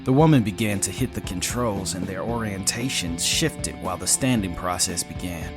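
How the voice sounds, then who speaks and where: A man's voice: deep voice, normal speed. A man reading scripted, dramatic science fiction narration as a storyteller, with music under his voice.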